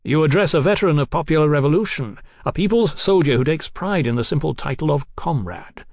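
A man's voice: on the high side, animated, fairly quick, darkish tone, dry recording, clean studio sound, pitched above the performer's own voice. A man voicing a zealous young revolutionary for an audiobook, haughty and grandiose, declaiming like an orator. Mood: indignant. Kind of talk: audiobook character acting.

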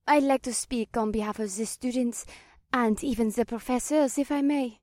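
A woman's voice: fairly high pitch, moderate pace, darkish tone, dry recording, clean studio sound, lightly acted. A woman voicing a meek, apologetic witch in a fantasy audiobook, pleading and deferential to her master. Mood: timid. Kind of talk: audiobook character dialogue.

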